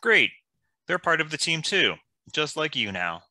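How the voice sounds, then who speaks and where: Man playing a bright, punchy, kindly warrior hero. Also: on the high side, very animated, a little slow, no room sound, heavily acted. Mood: encouraging. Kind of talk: animated fantasy table read acting.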